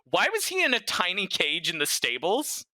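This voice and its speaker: Man, podcast co-host, fairly high voice, very bright, casual commentary, incredulous. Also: wildly swooping pitch, moderate pace, no echo.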